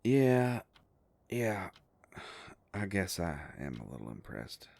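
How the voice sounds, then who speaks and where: A very deep, gravelly male werewolf private eye, acted by a man for an intimate audio drama. He talks slowly in a folksy, halting way, gruff but protective, with a gently teasing streak. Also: big pitch swings, full character voice. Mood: amused, impressed.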